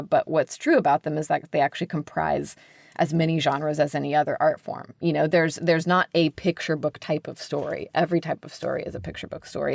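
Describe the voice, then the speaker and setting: Low-voiced woman explaining casually. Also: creaky, a little mellow, dry recording. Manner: chatty, explanatory, frequent "like" and "sort of". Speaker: podcast guest, children's book editor. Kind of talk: casual interview conversation.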